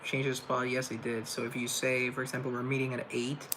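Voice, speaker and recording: A man's voice: mid-range voice, flat delivery, a little fast, background noise. A young man from Colombia hosting a casual livestream, rambling and thinking aloud while he reads viewer comments off a laptop. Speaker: livestream host reading chat. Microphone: laptop mic.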